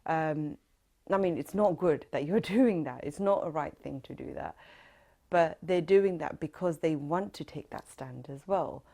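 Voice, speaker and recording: Woman, 30s, London accent, low voice, earnest interviewee in an oral history interview. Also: unhurried, creaky, warm tone. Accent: London English. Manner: reflective, earnest, with many fillers.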